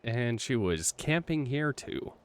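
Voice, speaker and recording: A woman's voice: very deep voice, very animated, slow, heavy background noise. A woman streamer giving casual, dry, offhand muttered reactions while playing a game. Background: game music.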